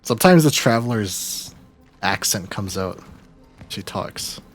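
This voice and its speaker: A man streams a horror game and comments in a relaxed, wry way, often muttering asides to himself. His voice sits low. Faint game music plays under his talk. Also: slow. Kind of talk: casual gameplay commentary.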